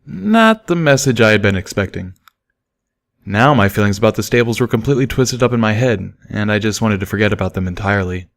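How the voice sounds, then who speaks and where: A man's voice: on the low side, expressive, brisk. A man reading scripted first-person fiction as an audiobook narrator. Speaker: audiobook reader.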